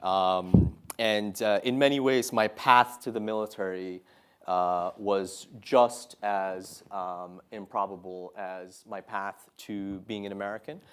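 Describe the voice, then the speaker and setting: A man in his thirties giving an earnest, measured public talk to an audience as a guest speaker, pausing often on "uh" and "um". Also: fairly low pitch, fairly even intonation, a bit bright, smooth. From Saudi Arabia. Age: 30s. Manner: earnest, measured, many "uh" and "um" fillers. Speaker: guest speaker, Marine officer.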